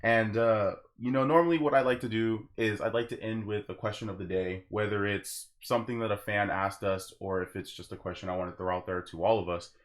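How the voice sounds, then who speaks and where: Low-pitched man hosting a podcast. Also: fairly even intonation, a little fast, few pauses. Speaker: podcast host. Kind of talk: casual podcast sign-off addressed to listeners.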